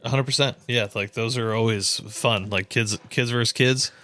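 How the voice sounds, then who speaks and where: A man's voice: medium pitch, fairly even intonation, moderate pace, pushed and bright. A man co-hosting a casual podcast, chiming in briefly and agreeably.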